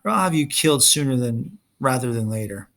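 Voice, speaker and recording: A man's voice: mid-range voice, expressive, fairly quick, a bit bright. A man reading a storybook as a menacing, sneering young male villain who taunts and gives orders. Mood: sneering, irritated. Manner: taunting, commanding.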